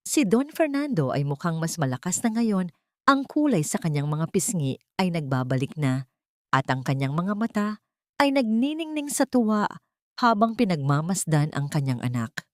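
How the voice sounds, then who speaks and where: Low-voiced Filipino woman narrating, dry sound. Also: very animated, normal speed, dry recording. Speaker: storyteller, narrator. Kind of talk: scripted dramatic story narration.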